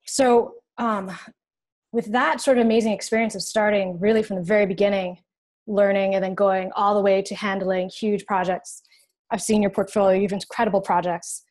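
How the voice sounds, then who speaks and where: A woman's voice: mid-range voice, steady tone, moderate pace, a little mellow. Young woman interviewing over video call. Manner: encouraging, asks questions with short backchannels. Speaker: podcast co-host. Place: home room, indoors.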